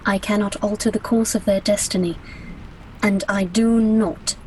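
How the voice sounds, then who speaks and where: Slow, solemn Grim Reaper played by a woman. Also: mid-range voice, steady tone, darkish tone, a little hiss or hum, lightly acted, low-quality recording. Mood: imperious. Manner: formal, grandiose, deliberate. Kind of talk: radio play acting.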